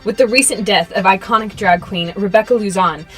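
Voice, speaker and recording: A woman's voice: medium pitch, a little fast, soft background music, natural voice. A young woman playing a formal news reporter and narrator, reading a script for a radio drama. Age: young adult.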